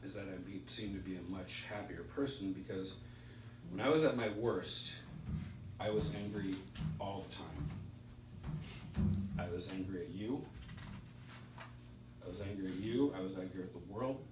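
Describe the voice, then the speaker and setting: Halting man speaking in a roomy, distant recording. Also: deep voice. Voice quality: stutter. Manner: halting, spaced-out delivery with pauses. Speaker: speaker at a recovery meeting. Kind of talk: personal testimony speech. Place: indoor meeting room. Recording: roomy, distant mic with background hiss.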